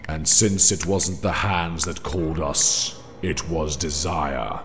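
An actor playing a solemn, menacing demon priest of hell with a gravelly voice and a grave, formal way of speaking, in a fan audio drama. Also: male voice, fairly even intonation, slow pace. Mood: grave, accusing. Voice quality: very deep, gravelly. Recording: long cavernous reverb, music behind. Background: dramatic music.

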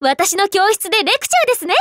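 A woman's voice: very high voice, big pitch swings, fast, a bit bright, no room sound, clean studio sound, heavily acted. A woman voicing a stern, polite young female teacher and fighter, speaking formal, composed, admonishing battle lines for an anime game.

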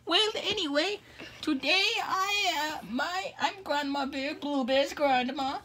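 A high-voiced performer voicing an elderly, muddled grandma teddy bear who gives halting cooking instructions in an amateur puppet skit. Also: bright, forceful tone, heavily acted. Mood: cheerfully instructive. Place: small bedroom, indoors. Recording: noisy home recording with clicks.

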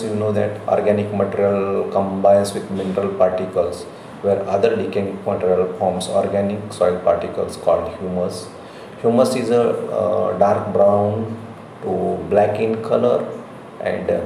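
A middle-aged man with an Indian accent giving a slide lecture as a college professor, speaking in halting, repetitive phrases. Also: fairly low pitch, gravelly, mellow. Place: indoor room, recorded lecture. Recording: very echoey room, faint noise.

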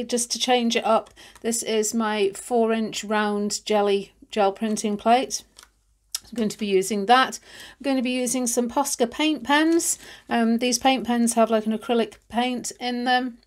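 Woman, stuffy nasal voice, congested, chatty craft host, relaxed with ums. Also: a little high, measured pace. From United Kingdom. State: nasal and congested from hay fever. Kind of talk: casual craft demonstration. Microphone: overhead camera on hands, voice-over.

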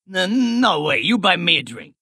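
A man voicing a brash, greedy, wisecracking male goblin in a video game, gravelly and sharp, like a fast-talking wise guy. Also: high-pitched, sing-song, dry recording, clean studio sound, full character voice. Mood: sleazy, flirty. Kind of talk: video game character voice lines.

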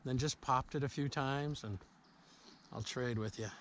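A man's voice: medium pitch, steady tone, measured pace, fairly bright tone. A middle-aged man, chatting and coaching a boy while out fishing in a small river boat, recorded on a boat camera. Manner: easygoing, coaching and encouraging. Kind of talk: casual outdoor vlog chat. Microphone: action camera mounted on the boat. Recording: faint outdoor noise from a boat camera.

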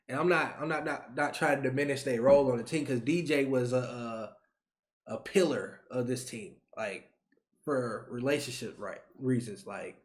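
A man in his twenties, a YouTube creator, talking to camera in an animated, slangy rant, repeating himself, from a small bedroom on a webcam mic. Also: medium pitch. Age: 20s. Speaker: YouTube sports reaction creator. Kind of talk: excited casual rant to camera.

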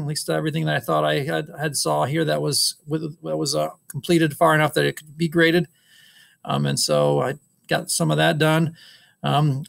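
A man's voice: on the high side, vocal fry, fairly bright tone. A middle-aged man, a woodshop teacher, giving a casual recorded update to his class over a webcam, with many ums and uhs. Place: school wood shop. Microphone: webcam on a screen recording.

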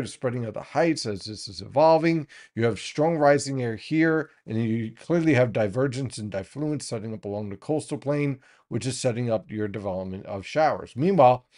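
Forecaster, man in his 30s, mid-pitched, steady and explanatory, pointing out features on maps, home studio. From United States. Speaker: weather forecaster. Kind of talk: explanatory weather briefing over maps. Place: small home studio, indoors.

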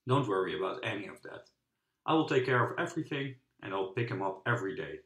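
A man's voice: fairly low pitch, big pitch swings, quick pace, a bit bright. A man voicing a friendly, encouraging male youth football coach in a children's storybook. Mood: reassuring. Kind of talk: children's storybook character reading. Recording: roomy, echoing sound.

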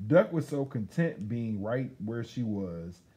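A middle-aged American man reads a picture book aloud in a casual way. His voice is mellow and medium in pitch, and he takes his time with each phrase. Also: sing-song, slow pace, dull audio. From Detroit, United States. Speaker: guest storybook reader, radio station employee. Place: school library, seated indoors.

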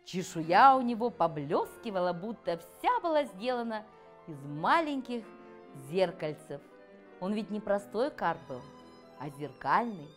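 A woman's voice: medium pitch, sing-song, measured pace, faint music behind. A middle-aged woman reading a fairy tale to children expressively. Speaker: author reading her own fairy tale. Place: furnished room with armchair and fireplace, indoors.

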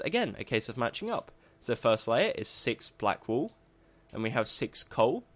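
A man with a croaky voice, narrating a building tutorial as a voice-over, upbeat and lively, slowing down as he lists steps. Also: medium pitch. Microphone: voice-over over game footage.

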